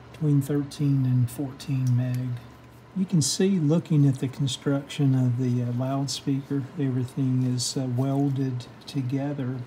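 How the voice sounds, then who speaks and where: A man's voice: medium pitch, warm tone, a little hiss or hum, poor audio. A man giving an unscripted, hands-on workshop demonstration, explaining step by step in a methodical, hesitant way. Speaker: host of a radio repair how-to channel. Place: indoor home workshop bench.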